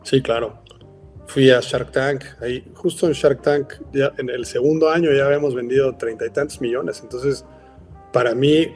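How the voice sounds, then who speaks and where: A man's voice: on the high side, a little mellow. A man with a Mexican accent, a company founder speaking as a guest in a casual interview, rambling with lots of filler words. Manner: rambling, frequent "este" fillers.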